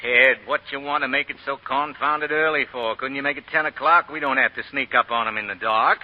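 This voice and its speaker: An actor playing a laconic, easygoing but dangerous Southern gallant, with folksy, unhurried phrasing, in a 1940s radio drama. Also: male voice, mid-range voice, steady tone, close to an everyday voice. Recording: muffled old 1940 radio broadcast.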